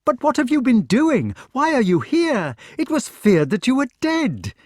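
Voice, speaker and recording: A man voicing an eager, warm-hearted young knight who talks loudly and excitedly. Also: measured pace, husky, no echo, studio quality, full character voice. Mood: overjoyed. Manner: loud, excited, pitched high.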